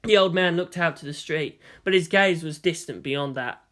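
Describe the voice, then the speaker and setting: A man's voice: fairly high pitch, moderate pace, a bit bright. A man narrating a story from a script as a fan fiction reader.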